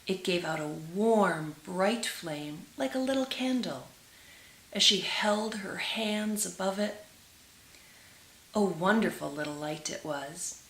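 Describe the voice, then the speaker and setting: A woman reading a storybook aloud for children as a storyteller, with dramatic, drawn-out emphasis. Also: on the low side, fairly bright tone. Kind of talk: expressive storybook read-aloud for children, with brief asides. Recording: roomy echo with clear hiss.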